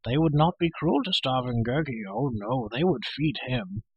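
A man's voice: medium pitch, animated, moderate pace, slightly rough, dry recording, lo-fi sound. A man voicing a hairy, half-animal storybook creature who wails, pleads and fawns hungrily. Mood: sly, pleading. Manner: wailing, pleading, fawning, speaks of himself in third person. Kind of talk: storybook character voice.

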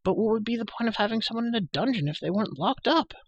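Storybook role: bossy young highborn girl, performed by a man, lifted pitch, chatty, indignant, matter-of-fact. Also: lively intonation, quick pace, breathy edge, dry recording, lo-fi sound, exaggerated voice. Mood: breezy, blunt. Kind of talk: storybook character voice.

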